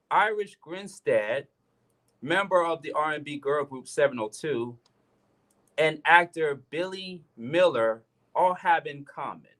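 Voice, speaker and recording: A man hosts a radio talk show. His pitch is fairly high, and it swings widely from line to line. He takes his time and speaks to the audience in an earnest, direct way. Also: fairly bright tone. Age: 30s. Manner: earnest, addresses listeners directly.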